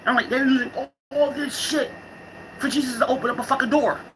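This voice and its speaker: A man's voice: very high voice, steady tone, brisk, bright, forceful tone, a little hiss or hum. A man giving a rambling, casual vlog monologue, irreverent, slangy and profane, often repeating and restarting his phrases. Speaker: vlogger.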